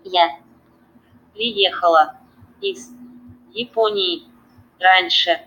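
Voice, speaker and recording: A woman's voice: on the low side, extremely slow, pushed and bright, lo-fi sound, slight background noise. A synthetic female text-to-speech voice reading text aloud automatically.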